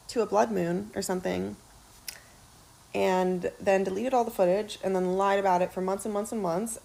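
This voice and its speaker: A woman's voice: on the low side, gravelly, warm tone, rough recording. A woman in a social media clip telling an urgent, accusing story about a former roommate. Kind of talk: upset personal testimony.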